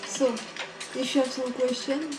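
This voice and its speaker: A woman with a slightly high voice and a co-hosts an online radio broadcast. She pieces her story together slowly, with frequent fillers and restarts. The room is echoey and music plays behind her. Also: level tone. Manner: halting, many ums and restarts. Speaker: radio show co-host. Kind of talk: casual spoken recollection.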